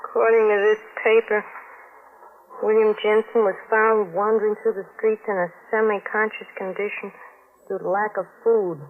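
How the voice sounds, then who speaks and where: Woman acting a low, hard, goading New wife. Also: flat delivery, lightly acted. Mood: musing. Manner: cool, taunting, deliberate. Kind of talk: 1940s radio acting. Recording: hissy, narrow-band old radio recording.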